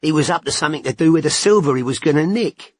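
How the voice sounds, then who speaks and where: A man voicing a cocky, smug man with a husky voice, in an audiobook, blunt and slangy. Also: on the high side, quick pace, darkish tone, no echo, studio quality, pitched above the performer's own voice, natural voice. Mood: gossipy. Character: cocky working-class English man, chatty, smug. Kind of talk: audiobook character voice.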